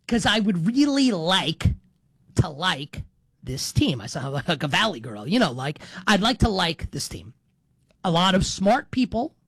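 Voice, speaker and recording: A man's voice: high-pitched, creaky, a bit bright, husky, dry recording. A middle-aged man hosting a sports talk show in a casual monologue, digressing, repeating and qualifying his points for emphasis.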